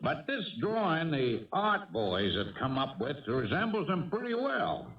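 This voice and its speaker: An American man playing a sheepish, earnest police patrolman in a 1970s radio crime drama, halting and plain-spoken. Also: fairly high pitch, big pitch swings. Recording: dull old radio broadcast, slightly distant.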